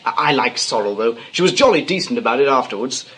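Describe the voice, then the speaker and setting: Affable, diffident young chap, low and very varied in pitch, theatrical comedy. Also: female voice, expressive, fast talker, fairly bright tone. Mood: mildly amused. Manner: hesitant, mild, boyish. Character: affable, diffident young upper-class man. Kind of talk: theatrical stage comedy acting.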